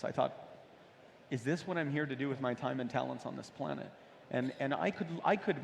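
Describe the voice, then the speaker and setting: A man's voice: mid-range voice, vocal fry. A man from the United States speaking as a panel guest, telling his personal story earnestly and with emphasis. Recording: reverberant hall with background noise.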